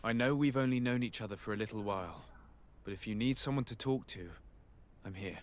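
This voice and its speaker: An actor playing a calm, authoritative young nobleman and diplomat in a video game. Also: fairly low pitch, a little fast, natural voice. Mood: gentle. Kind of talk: video game cutscene acting. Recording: clear background ambience noise.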